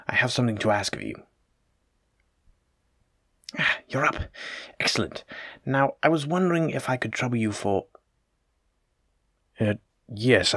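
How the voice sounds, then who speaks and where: Man acting as courteous, fussy low-voiced plague doctor. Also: expressive, unhurried, long pauses, fairly bright tone, slightly rough, no room sound, clean studio sound, heavily acted. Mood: polite, insistent. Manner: formal, polite, fussy, old-fashioned wording. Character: courteous, evasive, self-important male plague doctor. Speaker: voice actor in an audio roleplay. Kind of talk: hobby audio roleplay acting, addressed to the listener.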